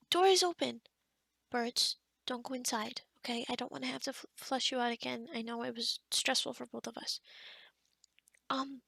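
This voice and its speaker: A kind but flustered forest witch, played by a woman speaks in a high voice with some creak in it. She is chatty and scatterbrained, stammering and dropping into whispers as she talks to the listener. The ASMR roleplay is recorded dry, without room sound. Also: fairly bright tone, no room sound, exaggerated voice. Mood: flustered, straining.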